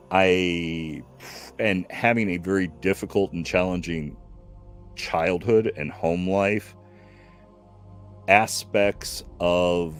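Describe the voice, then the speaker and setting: Slow, deep-voiced man in his fifties. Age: 50s. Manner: slow, reflective, many fillers and restarts. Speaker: stream host. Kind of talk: casual chat.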